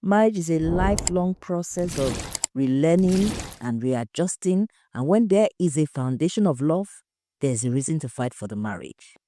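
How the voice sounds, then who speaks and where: A woman's voice: deep voice, animated, moderate pace, mellow, a little hiss or hum. A woman voicing a stubborn, pleading husband accused of murder in an audio story, earnest and defensive.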